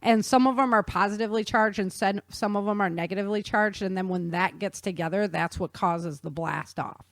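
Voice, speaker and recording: A woman's voice: mid-range voice, a little fast, hardly any pauses, dry recording, clean studio sound. A woman presenting an informal explanatory science talk in a casual, conversational, slangy way. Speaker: author and presenter.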